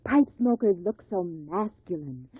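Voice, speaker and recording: Dreamy admiring lady, slow delivery, 1950s radio ad, thin tinny sound. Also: lowish voice, expressive, husky, no echo. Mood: dreamy admiration. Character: admiring, dreamy lady who loves pipe-smoking men. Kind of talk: 1950s radio commercial acting. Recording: tinny, dry old radio recording.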